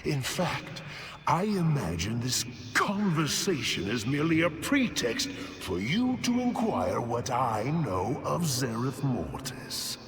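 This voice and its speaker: Wary scholar with swooping intonation. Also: male voice, mid-range voice, very animated, slow. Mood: sceptical. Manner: dry, guarded, formal. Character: wary, dryly sceptical male scholar keeper. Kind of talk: fantasy game cutscene acting. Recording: loud music behind voice. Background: game score music.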